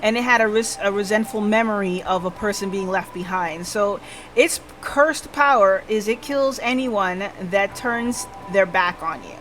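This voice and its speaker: A woman's voice: mid-range voice, unhurried, a bit bright, slight background noise. A woman livestreamer casually recapping a game, thinking aloud and checking in with listeners, with music behind her. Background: background music.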